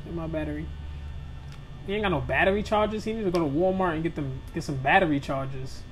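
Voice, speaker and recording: A man's voice: fairly high pitch, fast talker, a little hiss or hum. Young man joking through a horror game. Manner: loose, joking trash talk with slang and swearing; talks straight to viewers. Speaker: gaming video creator. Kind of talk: live gameplay commentary.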